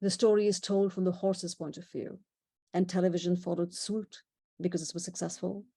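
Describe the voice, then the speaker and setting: A woman's voice: lowish voice, steady tone, moderate pace, mellow, husky. A middle-aged woman from India with an Indian accent, giving a chatty illustrated talk with asides and small laughs. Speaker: presenter. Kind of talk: illustrated talk on horses in history and culture.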